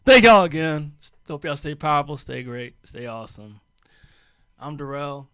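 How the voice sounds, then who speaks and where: A man hosts a radio comedy chat in a studio, upbeat and laughing often. His voice sits high and swings widely in pitch. The sound is close and dry. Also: expressive, normal speed, sharp tone, smooth, no echo. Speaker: radio show host.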